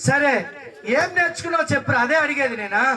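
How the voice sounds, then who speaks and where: A woman's voice: sits high, fast, pushed and bright. A woman presenting a children's puppet show with playful banter and teasing mock scolding. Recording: distant mic, noisy crowd of children. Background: crowd of children.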